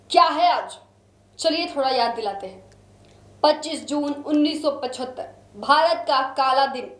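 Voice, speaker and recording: A woman's voice: high voice, echoey room. A woman news presenter delivering dramatic scripted narration in an emphatic, solemn way.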